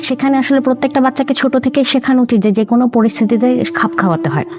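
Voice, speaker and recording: A young woman from Chittagong with a fairly high voice tells her life story to an interviewer. She speaks earnestly and gratefully, often with religious phrases. Music plays steadily under her voice. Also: steady tone, fast. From Chittagong, Bangladesh. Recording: background music under speech.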